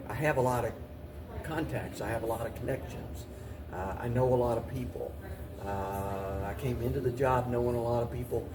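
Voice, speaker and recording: A man speaking as an interview guest and city mayor, giving halting, measured answers and often repeating phrases. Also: medium pitch. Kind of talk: talk show interview answers. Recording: distant mic, clearly noisy room.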